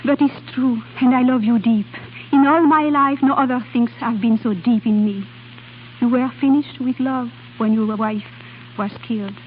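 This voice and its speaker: An actress playing a passionate, volatile young foreign woman in a vintage radio drama, with halting, dramatic delivery and uneven foreign-sounding English. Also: on the high side, steady tone, lightly acted. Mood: pleading, lovesick. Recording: old radio broadcast, tinny band-limited, hiss.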